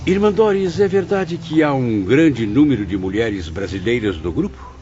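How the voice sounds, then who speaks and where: A man playing a reflective, courteous spirit who narrates his memoir in a formal, expressive audiobook drama style. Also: medium pitch, moderate pace, close to an everyday voice. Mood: courteous wonder. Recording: dull lo-fi audio under music.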